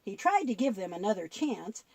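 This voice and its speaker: A woman presenting a children's Bible show, telling stories in an expressive, instructive way as dialogue with a puppet. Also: medium pitch, a little fast, no room sound.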